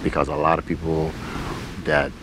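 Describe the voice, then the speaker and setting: A man answering interview questions in a halting, thoughtful, conversational way, speaking as someone who directs and acts in stage plays. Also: little pitch movement. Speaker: stage play director and actor. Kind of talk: interview answers about a community stage play. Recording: noisy recording with rumbling ambience.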